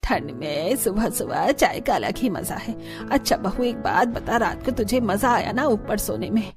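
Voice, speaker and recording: A woman voicing a bossy, cheerful older village mother-in-law with a brisk, coaxing, self-assured manner in a cartoon story. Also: mid-range voice, lively intonation, music in the background. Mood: pleased, chatty.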